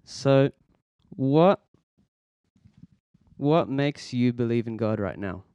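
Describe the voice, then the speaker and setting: A man, hosting a casual faith podcast in an earnest, halting way with many restarts. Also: mid-range voice, expressive, dark tone, clear, resonant tone, dry recording. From Philippines, raised in Australia. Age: 20s. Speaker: podcast host. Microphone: podcast microphone.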